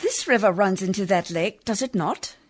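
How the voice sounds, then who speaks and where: A woman's voice: medium pitch, animated, moderate pace, natural voice. A woman playing a prim, proper and determined lady in a radio drama, speaking in formal, precise phrases and persistent questions. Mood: prim, firm. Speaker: actor in a community radio theatre. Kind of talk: radio drama acting in an old Hollywood radio style.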